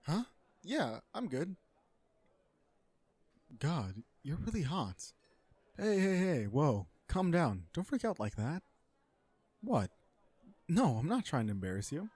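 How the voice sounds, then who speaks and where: A man playing an awkward, blunt, legal-minded villain on a blind date in an audio roleplay, hesitant and deadpan. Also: a little high, slow pace, long pauses, slightly rough, no room sound, exaggerated voice. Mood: flustered, blunt. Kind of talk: romantic comedy audio roleplay, one side of a dialogue.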